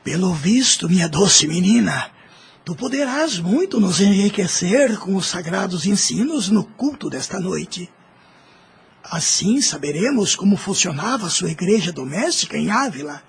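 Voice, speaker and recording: Radio-play elder played by a man: Brazilian, kindly and devout, very husky, unhurried, raised pitch. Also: very animated, full character voice. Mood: warm, inviting. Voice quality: gravelly, husky. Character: kindly, devout old man, head of household. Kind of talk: audio drama acting.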